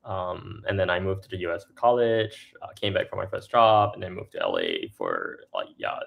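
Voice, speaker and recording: A young man from Singapore chatting as a podcast guest. His voice sits a little low and has a gravelly edge. He stacks fillers and restarts sentences as he thinks aloud. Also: very animated, moderate pace, a bit bright. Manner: filler-heavy, restarts often, laughs between points. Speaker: podcast guest, startup co-founder. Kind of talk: casual interview chat.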